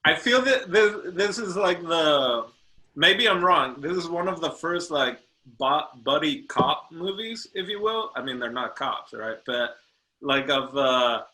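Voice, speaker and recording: Guy rambling thru a movie review, lots of um and restarts, bright voice. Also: a little high, expressive, unhurried, low-quality recording. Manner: rambling, many restarts and fillers. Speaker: podcast co-host. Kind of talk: casual movie review chat.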